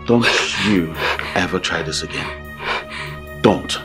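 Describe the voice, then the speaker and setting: Bossy hot tempered husband, movie drama, echoey room w music behind. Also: male voice, low-pitched, slow pace, fairly bright tone. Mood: indignant, angry. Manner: emphatic, scolding outbursts. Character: domineering, hot-tempered husband swinging between scorn and tenderness. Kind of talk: melodramatic film acting.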